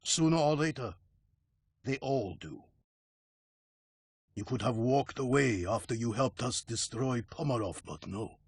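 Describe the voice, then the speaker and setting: An actor playing a gloating, menacing crime villain taunting a captive in a theatrical, deliberate manner for a video game. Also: male voice, medium pitch, expressive, husky, no room sound, studio quality. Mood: gloating menace. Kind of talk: dramatic video game cutscene acting.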